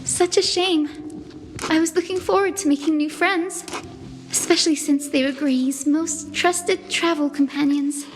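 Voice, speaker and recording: Sweet, high-voiced young heroine. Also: female voice, steady tone, a little slow, in a large hall, soft background music. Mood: friendly. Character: sweet, cheerful, caring young woman. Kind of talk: video game voice acting.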